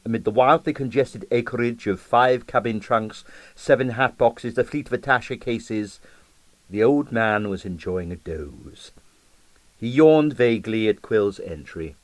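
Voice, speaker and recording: A man's voice: mid-range voice, unhurried, dry recording. A man reading a comic novel as an audiobook narrator, with wry, theatrical delivery. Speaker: audiobook reader.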